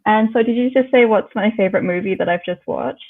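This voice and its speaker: A woman's voice: medium pitch, fast talker, phone-quality sound. A woman guest chatting casually and enthusiastically on a podcast, giggly, over a thin remote line. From Malaysian Chinese, living in Australia. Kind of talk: casual chat.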